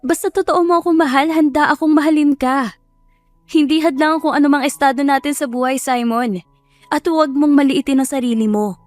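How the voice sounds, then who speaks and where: A sweet and determined young woman in an audio romance, played by a woman narrator. Her high voice is affectionate and emphatic. It sounds dry and close, with light music behind it. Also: steady tone, brisk, no echo, lightly acted. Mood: insistent. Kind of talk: dramatized audio love story.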